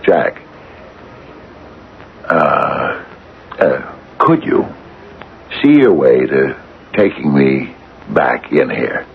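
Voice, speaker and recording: A very deep-voiced anxious older businessman. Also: very animated, vocal fry, full character voice. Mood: anxious, pleading. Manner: hesitant, halting, stammering. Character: anxious, wrought-up retired businessman, nearly sixty. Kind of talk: radio drama acting. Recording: dull, noisy vintage radio recording.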